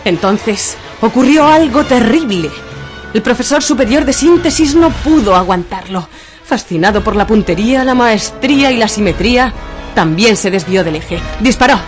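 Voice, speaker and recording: A woman narrating a radio drama in a dramatic, literary and deliberate style. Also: a little high, animated. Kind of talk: theatrical scripted narration of absurdist radio fiction. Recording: music bed under the voice.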